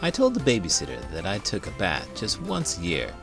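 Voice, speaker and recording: A man's voice: low-pitched, huge pitch swings, a little fast, loud music behind the voice. A man reading a children's book aloud as host, theatrical and full of big, silly emotion.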